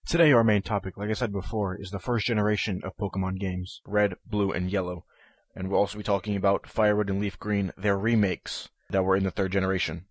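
Male solo podcast host, nasal from a head cold, eager with lots of ums, slightly low voice, dry close sound. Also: flat delivery, brisk, no room sound. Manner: eager, with frequent ums and restarts. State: stuffy nose from a head cold.

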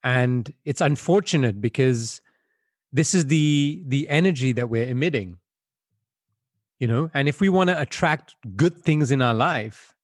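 A man's voice: mid-range voice, expressive, a little slow, dry recording, clean studio sound. A man from Belgium, a guest on an interview, answering in a reflective, conversational way with frequent fillers over a video call.

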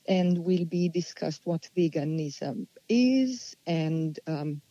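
A woman, answering interview questions unhurriedly and pausing as she thinks. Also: fairly low pitch, warm tone, no room sound, highs cut off. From Slovenia. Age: 40s. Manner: unhurried, thoughtful, with many pauses and restarts. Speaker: guest.

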